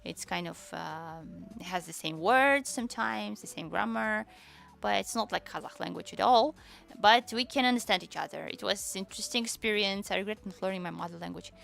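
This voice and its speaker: A young woman whose first language is Tatar, chatting casually on a stream, halting and searching for words, with many fillers. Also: medium pitch, creaky, fairly bright tone, faint music behind. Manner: switches between Japanese and English. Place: lives in Japan.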